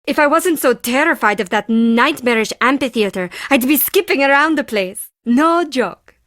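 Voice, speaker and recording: A woman's voice: sits high, animated, normal speed, fairly bright tone, dry recording, clean studio sound. An actress playing a timid, defensive woman artist and forger in a video game, with intense, theatrical delivery in clipped phrases. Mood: earnest, nerdy. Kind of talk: scripted video game character dialogue.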